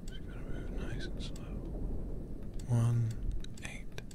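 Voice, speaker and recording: A man livestreaming a horror game in ASMR style, murmuring softly and often whispering, close to the microphone. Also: very high voice, wildly swooping pitch, takes it slowly, heavy background noise.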